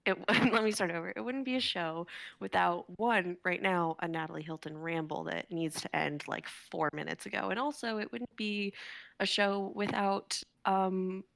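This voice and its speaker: A young woman with a bright, forceful voice at a middle pitch rambles for laughs. She is self-mocking and theatrical in a casual livestream way. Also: steady tone, moderate pace, creaky, no room sound. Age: 20s. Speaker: livestream performer, regular of the show.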